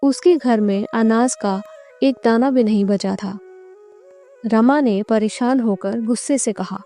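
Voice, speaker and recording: A female storyteller delivers scripted narration. Her voice is rather high yet very mellow, with background music playing under it. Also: takes it slowly.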